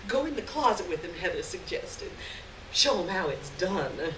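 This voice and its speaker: A woman giving an expressive dramatic reading of a literary short story live on stage, acting out the dialogue. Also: lowish voice, normal speed. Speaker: story reader. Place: live stage event, recorded from a distance. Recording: roomy distant live recording, clear background noise.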